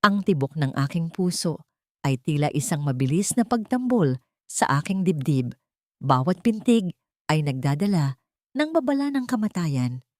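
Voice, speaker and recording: A woman's voice: deep voice, a little mellow, no echo, studio quality. A woman narrating a scripted first-person dramatic audiobook in a solemn, suspenseful manner.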